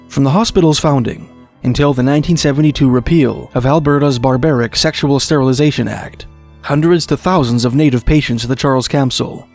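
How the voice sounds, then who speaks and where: A man narrating scripted documentary stories of eerie places in a measured, formal, articulate storytelling manner. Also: medium pitch, gravelly, a little mellow. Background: music.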